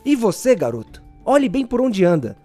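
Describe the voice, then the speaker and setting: Guy playing a kind brave aztec hero, highish voice that swings a lot, audio drama w music behind. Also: sing-song, normal speed, warm tone. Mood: kindly, cautioning. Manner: earnest, dramatic delivery. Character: kind, brave, self-sacrificing Aztec village hero, a grown man.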